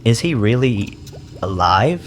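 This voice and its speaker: A man's voice: fairly low pitch, sing-song, slow, slight background noise. A man playing a young alien stowaway in an audio drama, wary and curious, speaking tersely and guardedly. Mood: probing, curious. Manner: terse, guarded, feigning casualness. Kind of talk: audio drama character acting.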